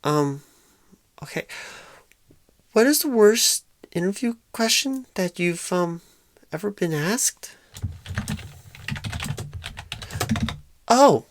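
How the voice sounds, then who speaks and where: A woman playing a nervous, inexperienced job recruiter in an ASMR roleplay, halting, stammering and apologetic. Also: medium pitch, lively intonation. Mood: nervous, hesitant, then alarmed. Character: painfully shy, nervous, inexperienced job recruiter on first day. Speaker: job interviewer. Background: computer keyboard typing.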